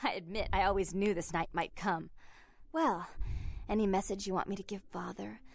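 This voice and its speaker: A woman's voice: medium pitch, steady tone, normal speed, creaky. An actress playing a cold, controlling vampire businesswoman in a video game, speaking in a formal, composed, condescending way. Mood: coldly regretful. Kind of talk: video game character acting.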